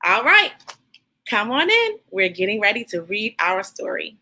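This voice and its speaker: A woman hosting a children's read-aloud, speaking in an animated, encouraging way straight to her young listeners. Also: mid-range voice, unhurried.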